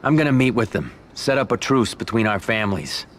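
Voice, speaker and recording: An actor playing an ambitious, shrewd young mob underboss who talks in an even, controlled, businesslike way in a game cutscene. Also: male voice, on the low side, a little fast, a little hiss or hum.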